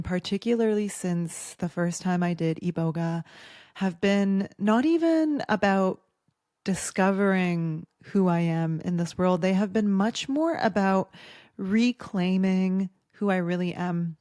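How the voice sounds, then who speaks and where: A young adult woman from Bosnia hosting a solo podcast, talking in a calm, reflective, unhurried way. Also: medium pitch, no echo, studio quality. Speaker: podcast host.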